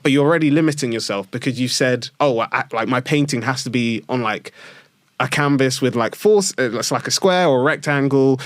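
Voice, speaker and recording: A man's voice: medium pitch, fairly quick, dry recording. Young male podcast host, rambling casual talk, dry close sound. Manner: rambling, many "like" fillers. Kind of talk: casual chat.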